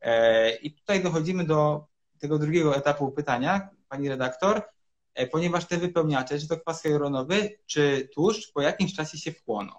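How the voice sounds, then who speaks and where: Man explaining as a doctor on a video call. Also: a little high. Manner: measured and explanatory, many hesitation sounds. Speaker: urologist guest. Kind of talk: explanatory interview answers. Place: home room, indoors.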